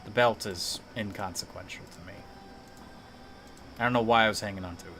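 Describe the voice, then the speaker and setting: A man's voice: on the low side, a little fast, sharp tone, slight background noise. A man chatting casually and thinking aloud as he streams a video game, in a playful manner.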